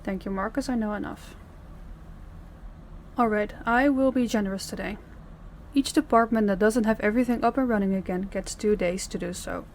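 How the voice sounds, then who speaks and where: A crime boss acted by a woman holds command with a measured, authoritative delivery. Her voice sits at a medium pitch in this audio drama, with slight noise behind it. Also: darkish tone, lightly acted. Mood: businesslike, commanding. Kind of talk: audio roleplay acting.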